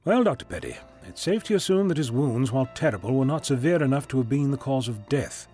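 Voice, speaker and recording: A genial alien Doctor acted by a man in an audio drama. He chats and lectures whimsically in a lively, swooping voice, with music behind him. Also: medium pitch, fast talker, breathy edge. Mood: brisk, assured. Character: genial eccentric alien Doctor, whimsical time-travelling scientist.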